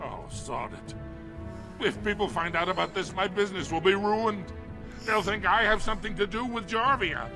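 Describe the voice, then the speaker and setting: An actor playing a flustered, anxious dwarven shopkeeper in a fantasy game, sputtering out halting questions and exclamations. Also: male voice, high voice, animated. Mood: worried. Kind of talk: fantasy video game acting. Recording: game audio with clear background music.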